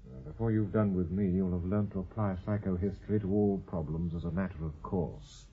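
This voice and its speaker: An actor playing an authoritative senior professor with a British accent, measured and probing like a tutor, in a radio drama. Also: male voice, low-pitched, fairly even intonation, natural voice. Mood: probing, instructive. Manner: measured, commanding, questioning like a tutor. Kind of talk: BBC radio drama acting. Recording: slightly dull radio drama recording.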